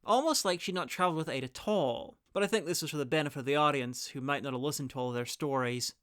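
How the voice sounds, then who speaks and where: A man's voice: fairly high pitch, fast, background noise. A man narrating a scripted review in an informal, opinionated and wry way, with casual asides. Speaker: reviewer presenting an audio drama guide.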